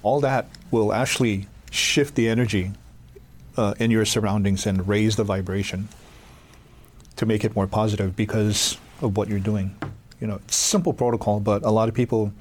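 A man, a podcast co-host calmly explaining local customs in casual chat, with many fillers. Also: on the low side, normal speed. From Hawaii.

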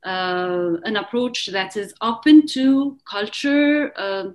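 A woman who speaks English as a second language, asking a question in a webinar in a halting, hesitant way over a video call. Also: medium pitch, animated. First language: not English. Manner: hesitant, halting, many pauses and fillers.

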